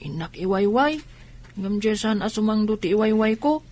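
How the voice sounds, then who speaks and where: A woman's voice: medium pitch, lively intonation, normal speed, darkish tone, natural voice. A woman playing a small, timid orphaned younger sibling in a radio drama.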